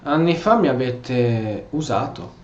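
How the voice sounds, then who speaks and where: A man with an Italian accent giving casual, expressive role-play commentary as a gameplay streamer. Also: medium pitch, measured pace. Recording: echoey room, dull muffled sound.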